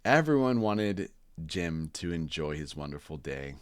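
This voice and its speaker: A man reading a children's storybook aloud in animated narration with casual asides, hosting as he goes. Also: a bass voice, unhurried, clean tone, no room sound, studio quality. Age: adult parent. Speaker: storybook reader and channel host.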